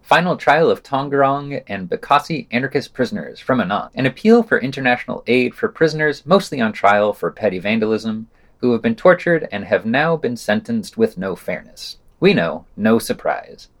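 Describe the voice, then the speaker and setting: A male podcast news reader with an average-pitched voice and a lively, varied melody. He reads scripted items and slips in sardonic, wry remarks of his own. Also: moderate pace.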